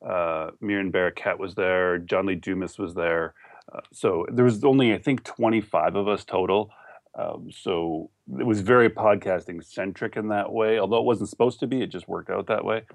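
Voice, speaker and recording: Podcast guest: male, Canadian accent, lowish pitch, dry close sound, informal rambling storyteller. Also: normal speed, creaky, no echo. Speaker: podcast guest, online entrepreneur. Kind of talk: casual interview chat.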